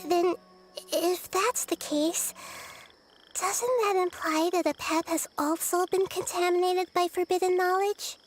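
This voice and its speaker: Tiny excitable fairy girl, very high squeaky voice, unhurried, game music behind. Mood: puzzled. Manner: chatty, exclaims, refers to herself in third person. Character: small floating female fairy companion, excitable. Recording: game audio with music over it.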